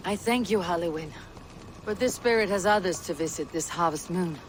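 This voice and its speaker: A woman's voice: lowish voice, brisk, slight background noise, natural voice, rough recording. An actress playing a stern, proud Viking warrior woman, terse, commanding and formal, in a video game cutscene. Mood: composed.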